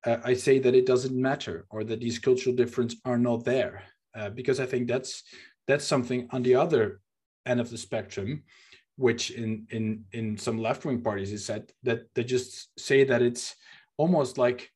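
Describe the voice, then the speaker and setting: A man's voice: medium pitch, steady tone, normal speed, darkish tone, husky. A man from the Netherlands with a Dutch accent, a native Dutch speaker, hosting a podcast in earnest, searching talk with frequent fillers. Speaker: podcast host. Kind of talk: reflective podcast discussion.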